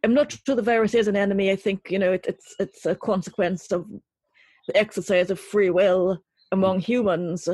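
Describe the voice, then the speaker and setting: A woman speaking reflectively as an interview guest, in an articulate, literary and deliberate way. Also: medium pitch, steady tone, darkish tone, slightly rough, no room sound. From Trinidad. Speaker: interview guest, poet and writer.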